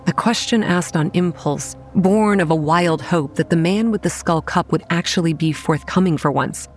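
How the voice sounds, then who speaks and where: A woman's voice: lowish voice, normal speed, faint music behind, close to an everyday voice. An actress playing a sarcastic, determined young woman who tells her own story in a horror audio drama. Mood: grim, wry. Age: young adult. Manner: wry, first-person storytelling.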